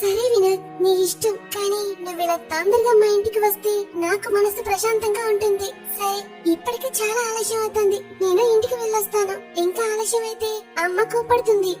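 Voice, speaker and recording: A woman's voice: very high-pitched, fairly even intonation, fairly quick. A woman playing an anxious, pleading young woman in a cartoon story. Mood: resigned. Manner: fretful, pleading. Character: anxious, pleading young woman in love, facing arranged marriage. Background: music.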